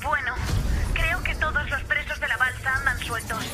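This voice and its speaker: A woman's voice: high-pitched, moderate pace, music in the background, lightly acted. A woman voicing a concerned, businesslike ally on a phone call in a video game fan dub. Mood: serious.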